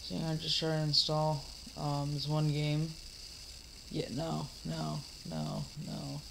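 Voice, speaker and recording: A man's voice: fairly high pitch, monotone, slow pace, vocal fry, background noise. A teenage boy coming down with a cold, rambling casually through a home vlog with lots of fillers and restarts. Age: teens. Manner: mock-scolds an imaginary heckler. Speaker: vlogger. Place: dim living room, seated in a recliner.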